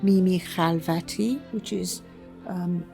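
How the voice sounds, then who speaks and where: An older woman from Iran, a writer telling her life story in a thoughtful, unhurried way with soft pauses. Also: lowish voice, creaky, soft background music. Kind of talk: reflective personal storytelling.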